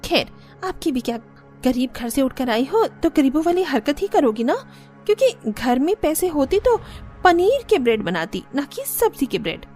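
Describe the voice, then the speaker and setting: Woman voicing a high-pitched, haughty young rich daughter-in-law. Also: big pitch swings, moderate pace, a little mellow, soft background music. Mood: resentful, scornful. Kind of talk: Hindi cartoon story dubbing.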